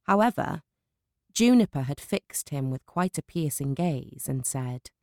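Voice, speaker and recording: A British woman narrating a children's audiobook in a warm, distinctive voice, with lively, engaging storytelling. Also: low voice, a little slow, no echo, studio quality.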